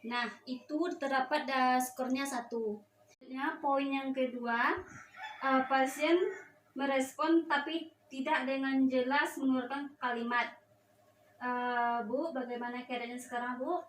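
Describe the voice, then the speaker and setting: A young woman with a high voice explains a procedure step by step. She sounds hesitant, pausing and restarting as she goes. The sound is echoey and far from the mic, with faint noise behind it. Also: steady tone. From Indonesia. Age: young adult. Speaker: nursing student giving a practical demonstration. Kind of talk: instructional demonstration, step by step.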